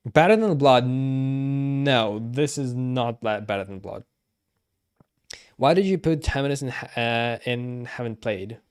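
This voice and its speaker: A man giving casual live stream commentary, thinking aloud haltingly and repeating himself. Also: medium pitch, darkish tone, clean tone.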